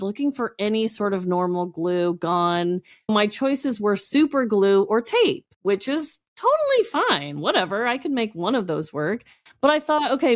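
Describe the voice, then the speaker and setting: A woman co-hosting a podcast, telling casual comic stories in a chatty, animated and expressive way. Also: mid-range voice. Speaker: podcast co-host. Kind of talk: casual comedic storytelling.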